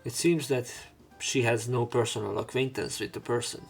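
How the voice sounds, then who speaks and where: A man's voice: mid-range voice, fairly even intonation, moderate pace, creaky. A man narrating and reading dialogue aloud for a visual novel let's play, sometimes stumbling over words. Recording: game music behind voice. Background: visual novel game music.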